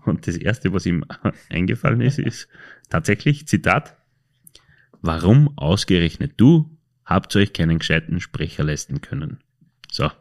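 A man's voice: low-pitched, sing-song, a little slow. An Austrian man hosting a podcast, chatting casually and telling anecdotes in a hesitant, colloquial way, often correcting himself. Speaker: podcast host.

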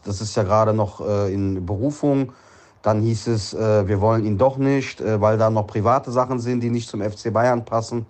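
A hoarse man with a cold leaves a voice message question, hesitant and full of fillers. His voice sits fairly low. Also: a little mellow. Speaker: listener calling in a question. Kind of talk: recorded listener voice message.

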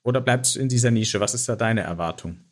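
Guy interviewing, calm measured voice, asks long rambling questions. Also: fairly low pitch. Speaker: interviewer, host.

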